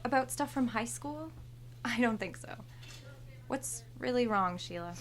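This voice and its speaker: An actress playing a sardonic, self-assured store cashier of thirty in a horror audio drama. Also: female voice, a little high, lively intonation, a little slow, slight background noise. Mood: sympathetic. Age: 30s. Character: brazen, entitled thirty-year-old store cashier, sly confidante.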